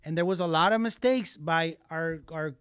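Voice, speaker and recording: A man co-hosting a soccer podcast in casual chat, excitable and repetitive, dropping Spanish words into his English. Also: fairly high pitch, moderate pace, smooth, no echo, clean studio sound. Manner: excitable, repeats himself, mixes Spanish into English. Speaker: podcast co-host.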